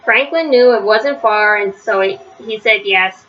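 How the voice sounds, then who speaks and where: A woman's voice: medium pitch, a little slow. A woman reading a children's story aloud as a storyteller. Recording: slightly dull, faintly noisy recording.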